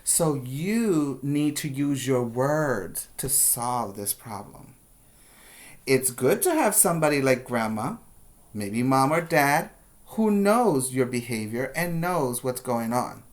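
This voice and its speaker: A preschool teacher reads and explains a story for small children. The pace is slow and deliberate, with simple phrasing and repeated words for emphasis, in a mid-range voice. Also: medium pitch, very animated. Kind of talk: storybook read-aloud with explanations for young children.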